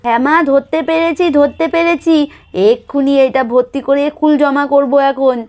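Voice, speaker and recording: A high-pitched, lively village girl put on by a woman for a doll-story cartoon. She is the helpful big sister, urgent and quick to repeat herself. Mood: cheerful.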